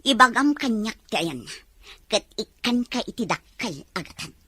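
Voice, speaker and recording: A woman's voice: fairly high pitch, very animated, normal speed, pushed and bright, breathy edge, dry recording, heavily acted. An actress playing a demanding, jealous wealthy woman who gives orders and pleads. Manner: bossy, emotional, dramatic.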